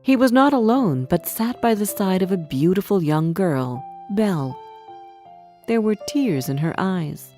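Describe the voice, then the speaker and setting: A woman narrating a storybook for English learners in a deliberate, expressive manner. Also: medium pitch, faint music behind.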